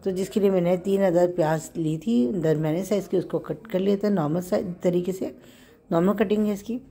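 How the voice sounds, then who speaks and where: A woman with a Pakistani Urdu accent and a hoarse, sore throat, chatting through a home recipe step by step and correcting herself as she goes. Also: on the low side, steady tone, fairly quick, mellow. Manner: chatty, explains step by step with small self-corrections. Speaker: home cook host. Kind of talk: casual cooking narration.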